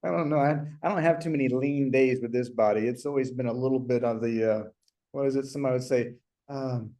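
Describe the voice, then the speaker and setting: A man's voice: medium pitch, brisk, mellow. A middle-aged man hosting a podcast, chatty and self-deprecating, with many fillers. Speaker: podcast host. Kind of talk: casual interview talk.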